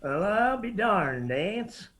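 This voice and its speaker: An actor playing an amused, rough Appalachian mountain clansman in a radio drama. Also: high-pitched, sing-song, slow pace, very noisy, full character voice.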